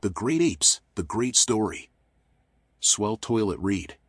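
A man voicing a world-weary raccoon private eye in a noir game, wry, sardonic and deadpan. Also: lowish voice, slow, breathy edge, dry recording, full character voice. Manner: dry, wry, deadpan muttering. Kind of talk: noir game voice acting, internal monologue and dialogue.

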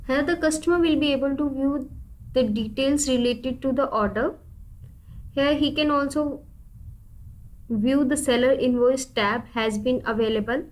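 A woman with a high-pitched voice presents a software tutorial. Her delivery is scripted and instructional, with deliberate phrasing as she takes each step in turn. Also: low-quality recording. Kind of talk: scripted step-by-step screen demo narration.

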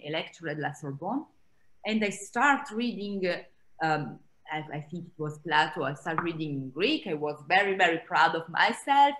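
A woman's voice: fairly low pitch, low-quality recording. A woman in her thirties with an Italian accent, talking animatedly on a video call from a small room at home, with frequent laughs and restarts. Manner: animated, gestures a lot, laughs, many restarts. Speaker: author and Greek scholar. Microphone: laptop webcam on a video call.

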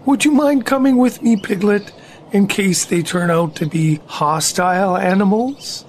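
A woman voicing a gentle, earnest, slow-witted male bear in a children's audio drama, musing aloud in a ponderous, solemn way. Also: medium pitch, a little hiss or hum, close to an everyday voice.

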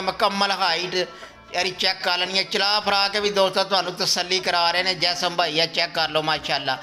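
Punjabi man pitching livestock over music. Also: sharp tone. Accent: Pakistani Punjabi. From Pakistan. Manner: repetitive sales pitch with stock phrases. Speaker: livestock sale presenter. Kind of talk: scripted sales voice-over.

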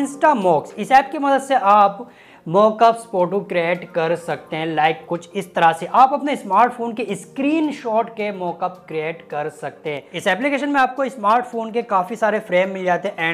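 Chatty young man reviewing apps. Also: high voice. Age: 20s. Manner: chatty, joking, colloquial asides. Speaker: YouTube tech host. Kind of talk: casual app review to camera. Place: small home studio room with desk. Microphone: lapel mic.

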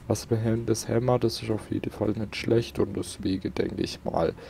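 Low, creaky-voiced man gaming. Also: level tone, normal speed, mellow, a little hiss or hum. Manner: grumbling, talks to himself. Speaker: let's player. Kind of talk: casual gameplay commentary. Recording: game sounds and ambience behind.

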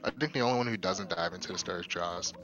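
A man with a deep voice giving casual, laid-back commentary on a video game speedrun race. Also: fast talker, a bit bright, dull audio, faint music behind. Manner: laid-back, laughs often.

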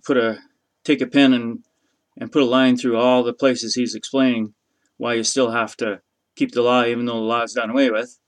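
Halting, thoughtful middle-aged man. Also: medium pitch. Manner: halting, thoughtful, searching for words. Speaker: host of a religious teaching video. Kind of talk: unscripted, informal religious teaching monologue. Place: small room inside an RV.

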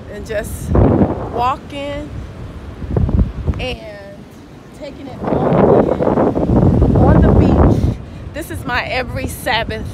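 Slow-talking woman on a windy beach. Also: on the high side. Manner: slow, preaching and earnest. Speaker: vlogger. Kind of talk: walking vlog narration. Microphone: phone held while walking. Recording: loud wind noise on phone mic. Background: wind and waves.

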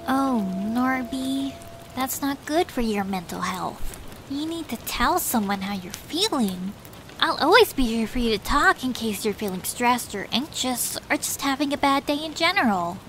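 A woman's voice: high voice, animated, moderate pace, a bit bright, a little hiss or hum, poor audio. A woman voicing a caring, affectionate female cartoon beaver girlfriend in a cutesy, soothing, doting way. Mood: concerned. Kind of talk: cartoon fan fiction audio reading.

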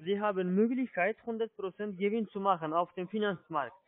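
High-voiced foreign-accented man on muffled phone. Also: dry recording. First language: not German. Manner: halting explanations, often checking with "ja?". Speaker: telephone sales agent, scammer. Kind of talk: phone sales pitch. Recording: muffled low-fidelity phone line.

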